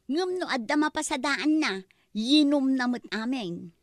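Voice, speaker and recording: A woman's voice: high-pitched, animated, measured pace, no room sound, clean studio sound. A woman with an Ilocano accent playing a plaintive, headstrong young woman in a comedy-drama. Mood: earnest, pleading.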